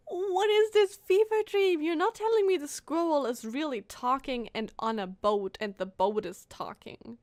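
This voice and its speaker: A high, very lively woman streamer reacting to a game in casual, incredulous chat. She fires off questions freely, and her close, dry sound sits over faint music. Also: no room sound. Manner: animated, incredulous, asks many questions. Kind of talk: casual live game commentary.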